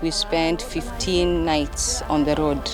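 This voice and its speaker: A man from Somalia answering interview questions slowly and carefully. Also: fairly high pitch, level tone, over music. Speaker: refugee interviewee.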